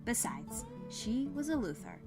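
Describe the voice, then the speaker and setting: A woman's voice: fairly high pitch, sing-song, unhurried, over music. A woman narrator reading a scripted fan fiction story.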